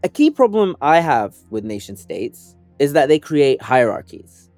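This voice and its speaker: Earnest man narrating a measured essay. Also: medium pitch, sing-song, a little mellow, no room sound, faint music behind. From Sudan. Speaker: organizer and activist. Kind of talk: scripted first-person essay narration.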